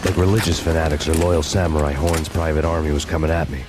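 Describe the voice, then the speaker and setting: An actor playing a brooding, vengeful hardboiled cop, narrating in a grim, deadpan noir style. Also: male voice, rumbling low, fast, loud music behind the voice. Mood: somber, resolute.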